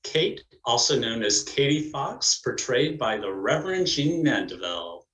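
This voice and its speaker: A man presents in a formal, scripted manner. His mid-range voice is measured, like a narrator introducing a community stage production. The sound is noticeably roomy and echoing. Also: mid-range voice, very animated, a bit bright.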